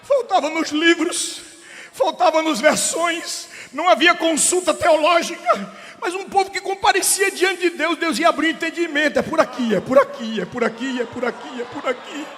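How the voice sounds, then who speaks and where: A middle-aged man from Brazil preaching a sermon. He moves between soft, chatty storytelling and loud, shouting preaching. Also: very high voice, moderate pace. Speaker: pastor. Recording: long hall reverb.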